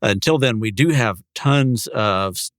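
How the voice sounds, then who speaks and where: A man's voice: medium pitch, lively intonation, unhurried, fairly bright tone, no echo, clean studio sound. A man co-hosting a podcast in a casual, conversational style. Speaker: podcast co-host.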